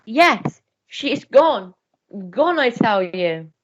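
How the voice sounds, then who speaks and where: A woman's voice: on the high side, sing-song, takes it slowly, dull audio, a little hiss or hum. A woman playing a blustering older major and father in a radio melodrama, grandiose and declamatory. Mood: distraught. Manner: grandiose, declamatory, repeating words for effect. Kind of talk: melodramatic radio play acting.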